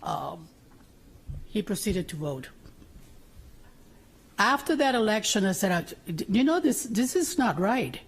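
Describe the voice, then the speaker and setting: A woman's voice: medium pitch, sing-song, measured pace, pauses often, breathy edge, poor audio. A woman from Puerto Rico giving informal testimony at a public hearing, telling it through anecdotes and quoted remarks. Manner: anecdotal, acts out remembered remarks. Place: city council chamber hearing.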